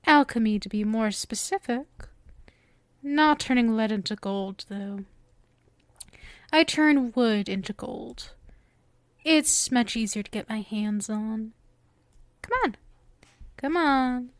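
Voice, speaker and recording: Casting: female performer as doting yandere witch for ASMR roleplay; higher voice, very unhurried, coy and theatrical. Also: dry recording. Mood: showing off, eager. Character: sweet, doting, obsessive yandere witch, playful and lovestruck. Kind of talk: ASMR roleplay acting, addressed to the listener. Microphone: close microphone.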